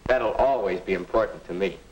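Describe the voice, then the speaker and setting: A man's voice: sits low, brisk, a little mellow, muffled recording, lightly acted. A young man playing a friendly, sociable student, speaking sincere scripted lines in a 1950 instructional film. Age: young adult. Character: friendly, sociable high school boy.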